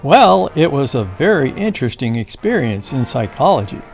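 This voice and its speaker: A woman puts on a male army lieutenant from a wartime newspaper story, modest and matter-of-fact. The voice drops low and gravelly, with lively swings in pitch, over music. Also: moderate pace, full character voice. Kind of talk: acted quote in a news reading.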